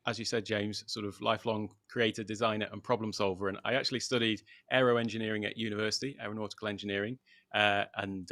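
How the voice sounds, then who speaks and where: Deep-voiced British man, dry sound. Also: a bit bright, no echo. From United Kingdom. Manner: loose and unscripted, many "sort of" fillers. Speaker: guest. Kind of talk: casual livestream interview. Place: at home in Thailand.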